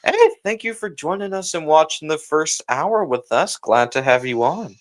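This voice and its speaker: A man's voice: fairly high pitch, very animated, normal speed. A man hosting a livestream, chatting casually and informally with slang as he reacts and talks to viewers. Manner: informal slangy talk, often saying bro. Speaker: livestream host.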